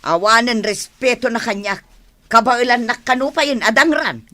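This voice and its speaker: A woman's voice: on the high side, normal speed, bright, forceful tone. A woman playing an indignant, meddling aunt in a radio drama, speaking emphatically with an Ilocano accent.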